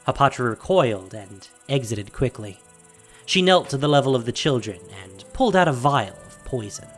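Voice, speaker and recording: A male narrator reads a scripted fantasy story dramatically. His medium-pitched voice moves with animated intonation. Faint music plays beneath the narration. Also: moderate pace.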